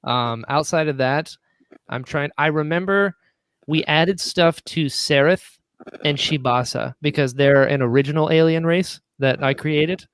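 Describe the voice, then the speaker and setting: A man with a fairly high voice talks in a casual, enthusiastic way. He is a producer being interviewed, and he slips into quoted lines as he tells stories. The sound is dry and close, free of room echo. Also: measured pace, a bit bright, clean tone. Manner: enthusiastic, acts out quotes mid-story. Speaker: audio drama producer, podcast guest. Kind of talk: casual animated interview chat.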